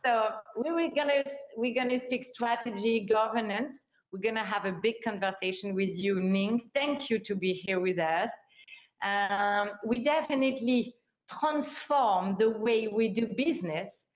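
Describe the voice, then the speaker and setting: A woman's voice: mid-range voice, unhurried. A woman hosting an online forum interview, speaking with enthusiasm and non-native phrasing. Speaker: host. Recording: roomy, dull lo-fi sound.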